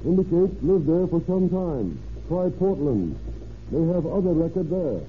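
An actor playing a brisk, businesslike police investigator in a vintage radio drama, clipped and deliberate. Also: male voice, on the high side, very animated. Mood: businesslike, pondering. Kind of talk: 1940s radio acting. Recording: tinny, noisy old radio recording.

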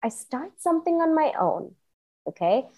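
Enthusiastic higher-voiced woman from Singapore. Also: sing-song, normal speed, warm tone. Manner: earnest, enthusiastic, emphatic repetitions. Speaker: interview guest, entrepreneur. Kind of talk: personal storytelling in conversation.

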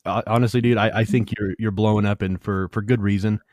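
A man in his late thirties with a lowish voice chats with a guest. He stumbles and repeats words as he goes, friendly and easygoing. The sound is dry and close. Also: fairly even intonation, fast talker, a little mellow, dry recording. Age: 30s. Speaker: youtube channel host, interviewer. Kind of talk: casual interview chat.

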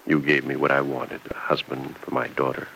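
Dying old farm dad, super deep gravelly voice, tender n resigned, old radio soap, bit noisy. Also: male voice, flat delivery, moderate pace, pushed and bright, exaggerated voice. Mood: tender, proud. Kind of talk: old-time radio soap opera acting. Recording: noisy vintage radio drama recording.

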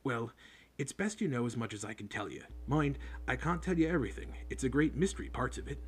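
A man reading an audiobook, voicing a big rough-spoken groundskeeper who talks in homely, clipped speech. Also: mid-range voice, lively intonation, fairly quick. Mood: worried storytelling. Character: big rough-spoken male groundskeeper, gruff but kind. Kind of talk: audiobook character voice.

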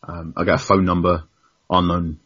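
A young man with a deep, husky voice tells stories as a podcast guest. He talks animatedly, laughs often and acts out what people said. The sound is dull and lo-fi. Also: level tone, moderate pace, a bit bright. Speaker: guest journalist. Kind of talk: casual podcast conversation.

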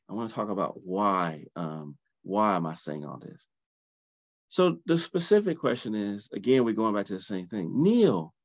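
A man hosting a solo podcast in a groggy early-morning voice, talking in an animated, conversational way and often restarting his phrases. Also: lowish voice, no room sound, lo-fi sound. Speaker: podcast host, soccer training founder.

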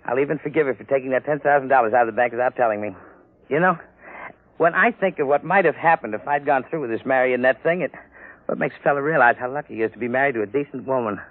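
A man's voice: medium pitch, quick pace, natural voice. An American actor playing an earnest, folksy middle-aged husband who dotes on his wife, in 1950s radio drama style. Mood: wry, resolved. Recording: narrow-band vintage radio recording.

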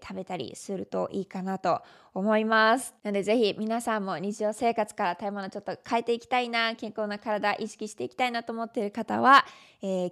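A woman's voice: mid-range voice, fairly bright tone. A woman from Japan with a Japanese accent, a native Japanese speaker, hosting a casual solo podcast in a conversational, explanatory way. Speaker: podcast host.

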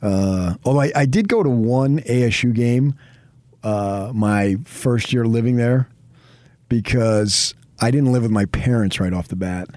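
A man's voice: mid-range voice, unhurried, a little mellow, no room sound, studio quality. A middle-aged man with a New Jersey accent, talking sports off the cuff in a rambling, emphatic, conversational way as a radio host. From New Jersey, later Arizona. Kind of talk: unscripted sports talk.

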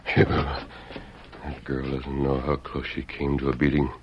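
A man's voice: a bass voice, completely flat delivery, normal speed. An actor playing a steadfast, alert western lawman hero with firm, decisive delivery in an old-time radio drama. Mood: urgent, determined. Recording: dull vintage radio recording, faint noise.

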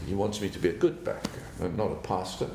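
An older man from South Africa, a banker who tells his life story in an interview, speaking in a halting, thoughtful way and chuckling now and then. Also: expressive, vocal fry. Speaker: guest, banker. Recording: roomy with faint rumble.

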